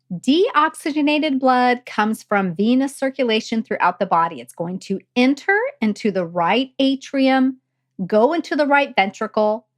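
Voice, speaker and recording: A woman hosting a nursing podcast, her voice a little croaky and gravelly from a lingering cough, explaining step by step and repeating key points. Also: on the high side. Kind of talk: casual teaching talk.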